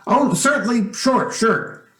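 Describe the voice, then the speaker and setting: A man's voice: very high voice, expressive, fairly bright tone, full character voice. Man playing a flustered, swooping radio-play father. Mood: genial, awkward. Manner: halting, stammering. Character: flustered, kindly father, hesitant and reassuring. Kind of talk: old-time radio drama acting. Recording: echoey room with faint noise.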